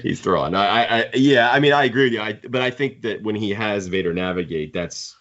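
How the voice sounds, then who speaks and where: Man, very low voice, rambling podcast chat, slightly muffled recording. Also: fairly quick, fairly bright tone. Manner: rambling, conversational. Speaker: podcast co-host. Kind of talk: casual fan discussion.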